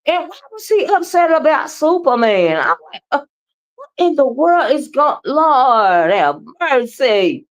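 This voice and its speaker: A woman's voice: high-pitched, big pitch swings, a little slow, low-quality recording. American woman talking emphatically on a livestream. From United States. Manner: emphatic and conversational, often ends phrases with a questioning tag. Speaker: livestream host. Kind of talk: casual livestream commentary, responding to chat.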